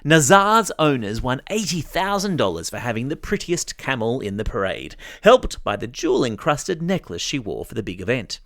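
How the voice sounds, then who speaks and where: A man's voice: mid-range voice, big pitch swings, moderate pace, few pauses, a bit bright, no echo, studio quality. An Australian man hosting a news podcast for children, reading scripted stories in a chatty, playful way and speaking straight to young listeners. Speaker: podcast host.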